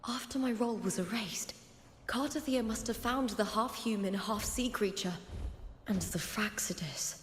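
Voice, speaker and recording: A woman voicing an earnest, determined young heroine in a video game, with a breathy voice. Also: medium pitch, normal speed, big-room sound, a little hiss or hum, close to an everyday voice. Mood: solemn, resolute. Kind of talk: video game story dialogue.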